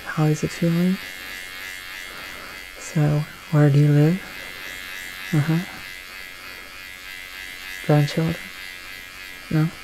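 A young man doing a soft-spoken, often whispered ASMR dentist roleplay, halting and gently reassuring, speaking close through a face mask. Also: a little high, flat delivery, creaky, background noise. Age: young adult. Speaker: ASMR performer playing a dentist. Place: small plain room indoors. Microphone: close to the mic, facing camera, through a face mask.

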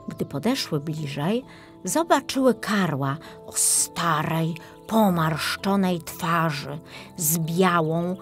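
A woman reads a children's fairy tale. Her delivery is unhurried and very melodic, in a medium-pitched voice, with faint music underneath. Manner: expressive storytelling. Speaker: audiobook narrator.

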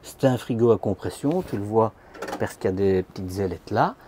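A man's voice: fairly low pitch, lively intonation, moderate pace, warm tone, a little hiss or hum. A man giving a chatty, informal walkthrough of camper vans at a trade show, filmed on a handheld phone. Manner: chatty, informal, addresses viewer as tu, many fillers. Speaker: camper van vlogger. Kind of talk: casual walkthrough commentary. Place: large indoor trade show hall, inside vans.

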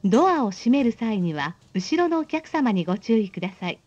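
High-voiced woman making formal train announcements. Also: big pitch swings, normal speed, a little mellow, highs cut off. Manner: polite, formal, clearly enunciated. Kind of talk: scripted recorded announcement.

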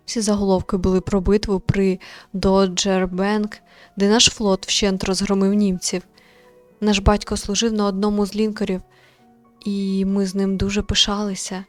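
A woman voicing a grave young male war veteran in a visual novel, speaking in a slow, somber manner. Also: mid-range voice, close to an everyday voice.

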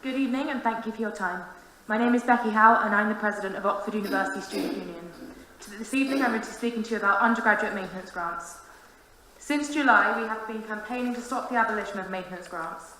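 Bright-voiced young woman in a very echoey room. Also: medium pitch, quick pace. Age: 20s. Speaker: student union president. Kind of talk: prepared formal speech read to a council. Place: large council meeting room. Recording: very echoey room, faint noise.

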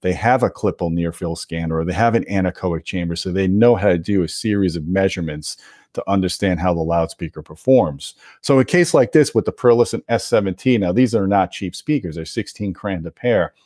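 A man with a fairly low voice hosts a gear explainer, recorded dry with very little room sound. He talks straight to viewers in a conversational, opinionated way, leaning on his key points. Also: fairly quick, darkish tone, no room sound. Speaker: host of an audio gear video channel. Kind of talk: explanatory product commentary to viewers.

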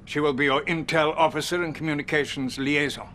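A dignified British field marshal in his sixties gives a game briefing. He is formal and authoritative, slightly high in pitch, with faint background noise. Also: steady tone, a little fast, bright, forceful tone. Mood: grave, formal. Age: 60s. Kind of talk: acted game cutscene briefing.

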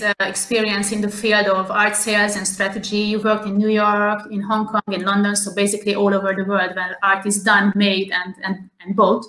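A woman's voice: mid-range voice, a bit bright. Woman hosting in an echoey room. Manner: careful, with many fillers and restarts. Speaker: host. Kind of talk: online interview. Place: home room, plain wall. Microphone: video call. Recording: echoey room on a video call.

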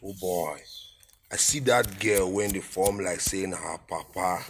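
A male actor plays a laid-back young Nigerian man in a radio play. He speaks Pidgin low and slowly, with clear noise behind him. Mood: casual, gossiping. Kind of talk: audio drama acting.

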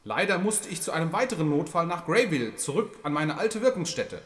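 A man's voice: on the high side, sing-song, brisk, a bit bright. A man playing an earnest, sensible podcaster caught up in an amateur sci-fi audio drama. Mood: reading aloud. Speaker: podcast co-host.

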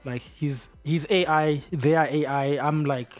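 Young non-native english guy gaming, rambly and excitable, a bit higher voice. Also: measured pace, soft background music. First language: not English. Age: young adult. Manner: rambling, excitable, many fillers. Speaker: gaming youtuber. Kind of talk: casual gameplay commentary.

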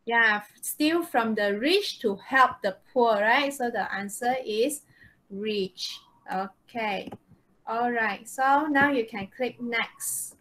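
A woman's voice: on the high side, slow pace, fairly bright tone, rough recording. A woman teaching an English lesson on a video call, checking understanding with short questions. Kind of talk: interactive online lesson.

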